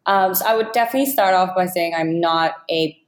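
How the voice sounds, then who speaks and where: A woman's voice: lowish voice, a little fast. A woman in her thirties from Malaysia answering casually as an interview guest, informal and hesitant. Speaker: startup founder, podcast guest. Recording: echoey, roomy sound.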